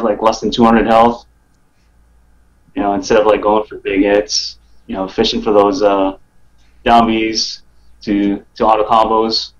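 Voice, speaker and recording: A man's voice: on the low side, dull audio. A man chatting casually about play as a guest on a gaming podcast. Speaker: podcast guest, fighting game player. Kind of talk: casual chat.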